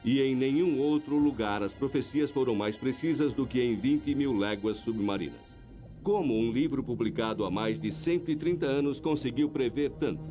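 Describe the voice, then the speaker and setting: A man reading a scripted documentary narration in a measured, even way. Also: medium pitch, faint music behind.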